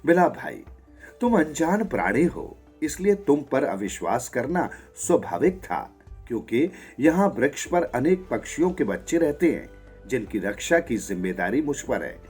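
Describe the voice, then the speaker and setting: Man voicing an old stern vulture guardian, husky. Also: medium pitch, very animated, normal speed. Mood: softened, polite. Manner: stern challenge softening into polite formality. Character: old, half-blind male vulture, stern but dutiful guardian. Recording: music behind the voice.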